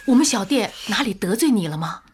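A woman's voice: medium pitch, animated, a little fast, slight background noise, close to an everyday voice. An actress in a radio drama playing an earnest, emotional female laundry owner, polite but spirited. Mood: polite, defensive. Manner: earnest, emotional, indignant when pressed.

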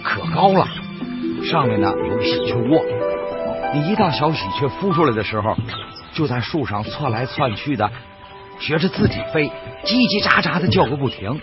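Actor as folksy Northeastern Chinese boatman, highly animated. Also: normal speed, lightly acted. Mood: reflective, warm. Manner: folksy, earnest, plain-spoken. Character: kindly, principled boatman and bird protector. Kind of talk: radio drama acting. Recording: dull radio recording with loud music.

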